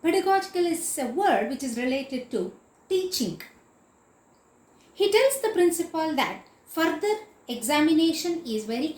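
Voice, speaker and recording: A woman's voice: sits high, darkish tone. A woman teacher giving an explanatory literature lecture in a didactic, emphatic way, repeating and spelling out key words. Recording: echoey room.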